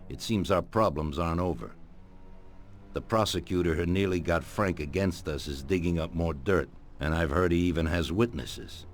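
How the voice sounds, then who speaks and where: Crime game mob boss acted by a man: very deep, measured, calculating, used to obedience, music underneath. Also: close to an everyday voice. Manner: measured, commanding. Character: authoritative, calculating older mafia boss giving orders. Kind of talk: dramatic video game dialogue.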